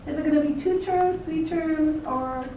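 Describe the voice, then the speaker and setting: A woman in the audience asks a candidate pointed, pressing questions. Her voice is high. She is caught from afar in a loud, echoing room. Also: normal speed. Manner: direct, pressing. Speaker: audience member asking questions. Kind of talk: question at a campaign luncheon. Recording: echoey room, from far off, noisy.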